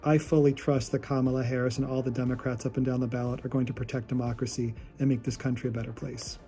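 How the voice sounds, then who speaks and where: A man with a soft-edged, mellow voice talks quickly as he gives casual reaction commentary. He comes across as condescending, with light music in the background. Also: mid-range voice, flat delivery. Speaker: political commentator reacting to videos.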